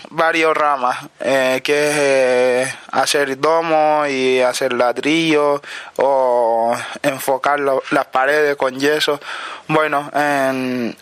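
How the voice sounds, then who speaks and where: Young man from Mali, slow, hesitant, smooth dry voice, camp interview. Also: a little high, fairly bright tone, no room sound. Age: 20s. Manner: halting, many restarts and fillers. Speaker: summer camp participant.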